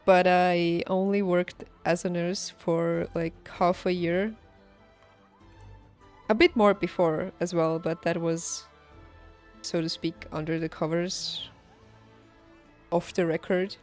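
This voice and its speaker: A woman game streamer chatting casually, thinking aloud haltingly and laughing easily, as if working out each thought while she talks. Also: fairly low pitch, flat delivery, a little mellow. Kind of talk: casual livestream chat over gameplay. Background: game music.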